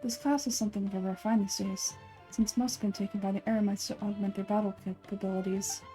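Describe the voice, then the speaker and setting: Woman voicing an aloof, measured scholarly man, mellow tone. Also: mid-range voice, steady tone, soft background music, lightly acted. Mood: analytical, detached. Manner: formal, measured, clinical. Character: aloof, scholarly young man, calculating and composed researcher. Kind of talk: game dialogue read aloud.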